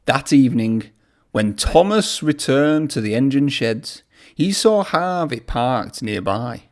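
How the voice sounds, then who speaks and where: A man's voice: mid-range voice, lively intonation, slow. A man reading a children's story aloud in a narrating style. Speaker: storybook reader.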